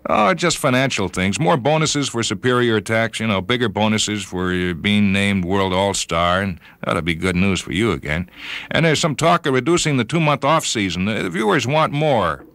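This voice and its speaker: A man voicing an uneasy, folksy retired player turned sports judge in a dramatic reading, with halting, hedging speech. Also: fairly low pitch, animated, fairly bright tone, dry recording, voice pushed up. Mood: casual, matter-of-fact.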